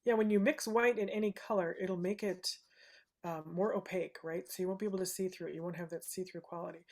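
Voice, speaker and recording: A soft-spoken woman leads a live painting lesson in a soothing, fairly low voice. She talks gently and unhurriedly, often adding "right?" as she explains what she is doing. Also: steady tone, fast talker. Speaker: painting instructor.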